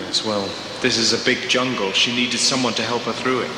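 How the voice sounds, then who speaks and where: A man acts out an earnest, slightly uneasy traveller in a sci-fi audio play. His voice is mid-pitched and everyday. It carries a long echo with noisy ambience around it. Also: steady tone, a little fast, lightly acted. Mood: earnest, pleading. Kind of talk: sci-fi audio drama acting. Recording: echoey cavern reverb with noisy ambience.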